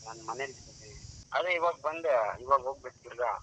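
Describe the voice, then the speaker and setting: A man in his twenties with a Karnataka accent and a bright, sharp voice of medium pitch. He speaks quickly on a phone call, sounding puzzled and defensive. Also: breathy edge. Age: 20s. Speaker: prank call target. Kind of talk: casual phone conversation.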